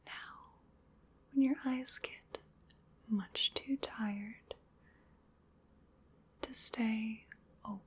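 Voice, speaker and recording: A woman whispering in a breathy voice, giving soothing, unhurried ASMR hypnosis as part of a roleplay. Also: fairly high pitch, steady tone. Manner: soothing, unhurried, repetitive guided relaxation. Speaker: ASMR performer.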